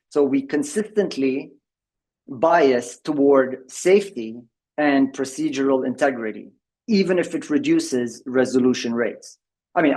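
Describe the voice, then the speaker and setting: A man's voice: mid-range voice, a little mellow, poor audio. A man giving a tech presentation at a members' meeting over a video call. He talks with many fillers and restarts. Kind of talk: conference presentation.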